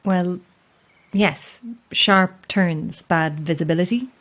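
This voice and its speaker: Dry, low-voiced woman lighthouse keeper. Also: slow, a bit bright. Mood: sceptical, probing. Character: guarded, sceptical lone lighthouse keeper, woman, wryly amused. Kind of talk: naturalistic audio horror drama acting.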